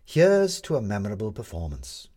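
A man voicing a brisk, blunt and wry female newspaper reporter in an audiobook. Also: on the low side, wildly swooping pitch, dark tone, breathy edge, exaggerated voice.

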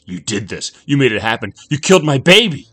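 A man playing an angry, foul-mouthed, desperate father in a story reading, snarling and shouting his lines. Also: medium pitch, sing-song, moderate pace, breathy edge, pitched above the performer's own voice, heavily acted. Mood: furious. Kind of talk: audiobook character acting.

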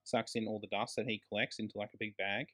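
A man's voice: fairly low pitch, level tone, quick pace, a bit bright. Blokey, emphatic Australian man, podcast co-host. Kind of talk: casual film podcast chat.

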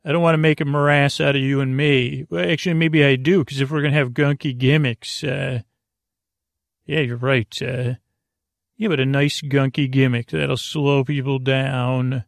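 Male sleep-podcast host: gravelly creak, dry close sound, halting and meandering, gentle delivery. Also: mid-range voice, steady tone, no room sound. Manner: meandering, halting, trailing off and repeating words; gentle, soothing address to the listener. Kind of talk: rambling, improvised bedtime podcast monologue.

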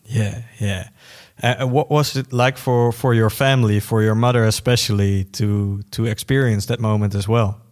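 A man interviewing a guest, asking questions in a friendly way and filling pauses with "uh". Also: lowish voice, steady tone, a little mellow.

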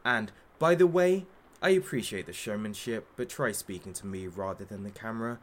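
A man's voice: on the low side, moderate pace, slight background noise, lightly acted. An actor playing a masked hostage-taker who runs a talk show, gleefully cruel, sarcastic and showman-like, in an audio drama. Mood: scornful.

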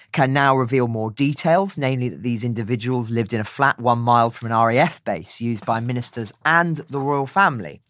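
A British man presenting a scripted news summary on a podcast, speaking in a measured, articulate way with brief commentary. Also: mid-range voice, a bit bright, dry recording, clean studio sound.

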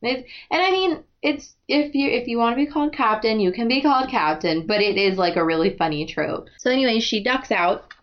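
A woman from the US Midwest hosting a podcast, chatting casually about a book with quick asides. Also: a little high, expressive, highs cut off. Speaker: podcast host.

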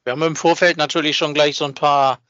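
A man with a bright, forward voice pitched a little high, chatting informally as a podcast co-host. He circles back over his own phrases in colloquial talk. The track is dry and slightly lo-fi. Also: little pitch movement, fast, clear, resonant tone, no room sound. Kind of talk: casual podcast chat about a hobby project.

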